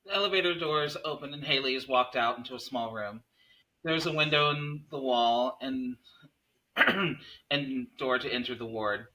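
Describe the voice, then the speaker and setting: A male narrator reads screenplay scene headings and action. His voice is mid-pitched with a bright, forward edge, delivered as scripted narration. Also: moderate pace, rough recording. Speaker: narrator reading stage directions.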